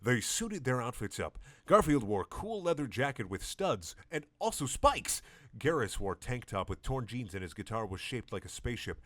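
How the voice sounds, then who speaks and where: A young man giving a deadpan comedic reading of a story, often stumbling over the words. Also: medium pitch, moderate pace, no room sound. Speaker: reader of a comedic fan story.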